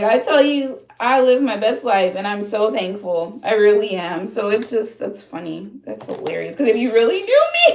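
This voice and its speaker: A woman's voice: medium pitch, creaky. A woman in her thirties from upstate New York, hosting a casual livestream and chatting with viewers in the comments, rambling with lots of fillers and restarts. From upstate New York, USA. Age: 30s. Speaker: livestream host. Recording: echoey room.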